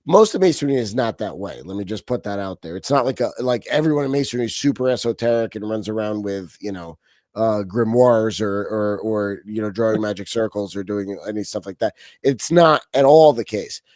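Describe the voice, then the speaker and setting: Animated man from Romania, dry sound. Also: lowish voice, fairly quick, dry recording. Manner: animated, frequent restarts and fillers. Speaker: podcast guest. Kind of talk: casual interview talk.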